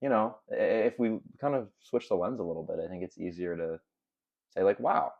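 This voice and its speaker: A man's voice: fairly low pitch, fairly even intonation, moderate pace, vocal fry, lo-fi sound. A young man who is a podcast guest, talking casually in a reflective, rambling way full of fillers. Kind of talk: casual conversation.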